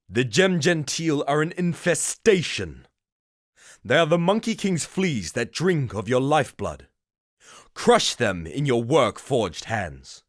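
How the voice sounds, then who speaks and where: Bitter doomed chronicler, solemn, slow, emphatic, medium pitch, dramatic fantasy game monologue. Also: male voice, no room sound, clean studio sound. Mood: defiant, rousing.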